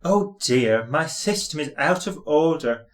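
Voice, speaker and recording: A man's voice: on the high side, animated, unhurried. Man playing big steam engine, male, self-pitying, moaning, complaining, storybook character.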